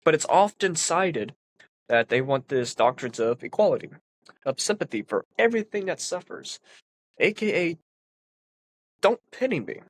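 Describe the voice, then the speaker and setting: A man's voice: a little high, lively intonation, normal speed, pauses often, no room sound. A man with a congested, sniffly voice, reading a philosophy text aloud and explaining it in an earnest way with emphatic stresses. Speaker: philosophy commentator.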